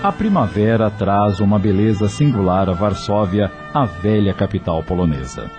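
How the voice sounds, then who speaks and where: Low-voiced, animated male storyteller. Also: normal speed, over music. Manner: storytelling, expressive, literary. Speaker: narrator. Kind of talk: scripted radio drama narration.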